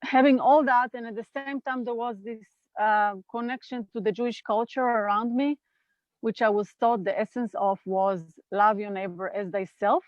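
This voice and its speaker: A woman's voice: on the high side, fairly even intonation, no echo, lo-fi sound. A woman in her fifties with an Israeli accent, a guest speaking thoughtfully and unhurriedly about her path in an interview over a video call. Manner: thoughtful, unhurried, with pauses and fillers. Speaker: guest, NVC trainer. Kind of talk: reflective interview talk. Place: home in California.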